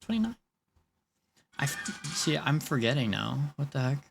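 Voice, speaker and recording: A man's voice: mid-range voice, big pitch swings, moderate pace, vocal fry, a little hiss or hum. A man in his late twenties casually chatting with viewers on a live stream while he draws, rambling and laughing as he goes. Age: 20s. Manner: rambling, chatty, laughs often, talks to chat.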